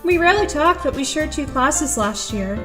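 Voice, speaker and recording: A woman's voice: high-pitched, lively intonation, normal speed, music in the background. A woman playing an awkward, earnest teenage schoolgirl who narrates her thoughts in an audio drama, a little hesitant. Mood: curious, reflective.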